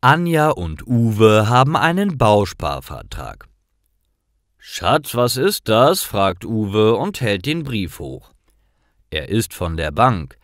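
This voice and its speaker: A man's voice: lowish voice, sing-song, takes it slowly, dry recording, clean studio sound. A man reading simple stories for language learners in a careful, scripted narrating style.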